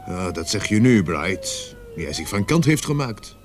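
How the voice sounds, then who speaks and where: Weary police sergeant in a radio play, sceptical, very animated pitch, bit of noise behind. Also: male voice, moderate pace. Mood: dismissive. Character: experienced police sergeant, sceptical, gruffly compassionate older colleague. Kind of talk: Dutch-language radio play acting.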